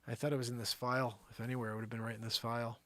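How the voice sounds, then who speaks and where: A male show host with a mid-range voice, recorded dry. He rambles casually and comically to his viewers, animated and slangy, often thinking out loud. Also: mid-range voice, rapid-fire, dry recording. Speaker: live variety show host.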